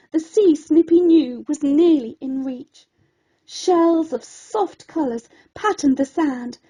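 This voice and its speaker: High-voiced woman telling a bedtime story. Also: mellow, low-quality recording. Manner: theatrical, deliberate. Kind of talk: expressive rhyming children's bedtime story narration.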